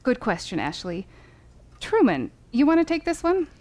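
A woman playing a brisk, exacting lawyer coaching witnesses in an audio drama, authoritative and instructive. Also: high-pitched, wildly swooping pitch, darkish tone.

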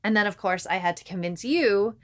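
A woman's voice: mid-range voice, brisk, a bit bright. Woman co-hosting a podcast, conversational. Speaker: podcast co-host and fiction writer.

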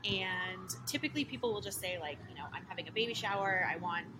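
Relaxed young woman baker, filler-heavy talk. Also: medium pitch, fast. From Costa Mesa, California. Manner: relaxed, many fillers like "you know" and "like". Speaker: small business owner, baker. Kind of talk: casual interview guest. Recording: noisy background.

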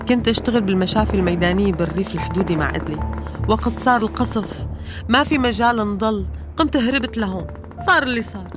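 A woman playing a weary, bitter coastal Syrian nurse. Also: close to an everyday voice. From Latakia countryside, Syria. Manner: low, resigned, pleading to be left alone. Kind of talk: radio drama acting. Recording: music bed behind the voice. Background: music.

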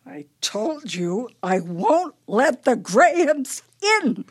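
Husky, animated elderly wife played by an actress. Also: female voice, high-pitched, takes it slowly, exaggerated voice. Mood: defensive. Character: elderly wife losing her memory, imperious, brittle, anxious. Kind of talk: radio play acting.

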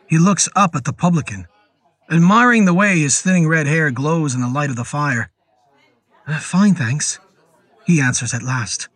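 A man narrating a scripted horror story in a deliberate, literary storytelling manner. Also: mid-range voice, a bit bright, husky, dry recording. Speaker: narrator and performer.

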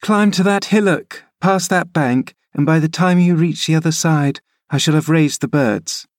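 A man reading an audiobook, voicing a headstrong, eager teenage girl in a raised, bright voice. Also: fairly high pitch, normal speed, no echo, clean studio sound, pitched above the performer's own voice, natural voice.

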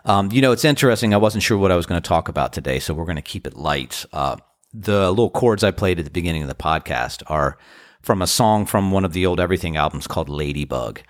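A man in his fifties from the Washington DC area, hosting a podcast in a casual, earnest, rambling monologue, his voice deep and tired after a show. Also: animated, quick pace, dry recording, clean studio sound. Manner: earnest, rambling, grateful storytelling. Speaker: podcast host and band musician.

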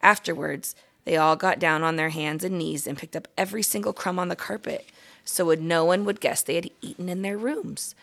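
A woman with a fairly low voice and plenty of fry reads aloud from a children's book. She is a guest story reader who delivers the tale expressively. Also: fairly even intonation, normal speed, fairly bright tone.

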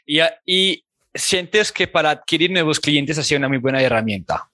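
A man with a Colombian accent hosting an interview, encouraging his guest with quick approving remarks. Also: a little high, animated, sharp tone, no room sound, clean studio sound. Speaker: interview host. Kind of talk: casual interview.

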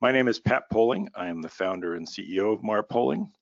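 A man's voice: lowish voice, quick pace, gravelly, no room sound, highs cut off. Tired-voiced man hosting a business podcast. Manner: folksy, with many fillers and baseball analogies. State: slightly raspy, tired voice. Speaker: podcast host and company founder. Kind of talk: solo business podcast monologue.

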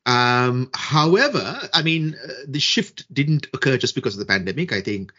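Measured husky man from Sri Lanka. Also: mid-range voice, big pitch swings, sharp tone, dull audio. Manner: measured, deliberate, with frequent fillers and restarts. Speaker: guest expert on social media and peace building. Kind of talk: podcast interview answers.

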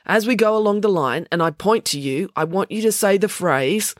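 A woman's voice: medium pitch, lively intonation, normal speed, no echo, studio quality, voice pushed up, natural voice. A woman voicing a mild, easily embarrassed male police constable in an audiobook, procedural and hesitant. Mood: businesslike.

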